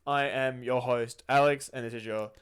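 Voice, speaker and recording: A man's voice: mid-range voice, fairly even intonation, normal speed, smooth. Man hosting a casual podcast. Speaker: podcast host.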